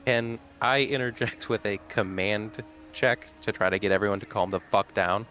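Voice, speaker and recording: A man's voice: fairly low pitch, normal speed, a bit bright, a little hiss or hum. A man playing a tabletop game, blunt and commanding, curt with the others. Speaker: player. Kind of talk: in-character tabletop banter.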